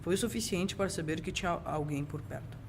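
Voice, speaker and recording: A man's voice: a little high, brisk, creaky. A young teenage boy reading scripted narration for an amateur radio drama. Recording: noisy amateur school recording.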